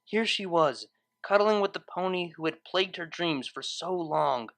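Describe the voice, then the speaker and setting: A woman reading a story aloud as narrator, in expressive scripted narration. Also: fairly low pitch, normal speed.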